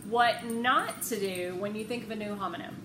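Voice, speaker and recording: A woman narrating a children's novel aloud in an expressive storytelling style. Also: mid-range voice, moderate pace. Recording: echoey room with clear background noise.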